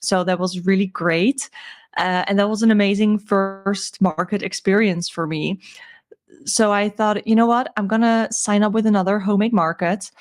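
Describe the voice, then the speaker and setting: A woman with a croaky voice giving a casual, chatty recap as a vlog host, informal and a little hesitant as she thinks aloud. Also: medium pitch, fairly even intonation, normal speed. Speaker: crochet seller and video host.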